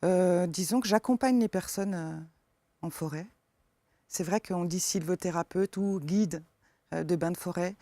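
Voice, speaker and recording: A woman from eastern France with a slight eastern French accent, answering interview questions as a forest therapy guide, soft-spoken and hesitant with many fillers. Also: fairly low pitch, measured pace, no echo.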